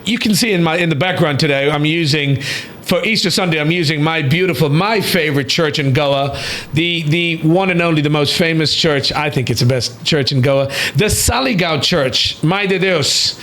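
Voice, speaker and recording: A man's voice: a little high, moderate pace, a bit bright. A man hosting a talk show in a loose, effusive way, often repeating himself. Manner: effusive, rambling, repeats words.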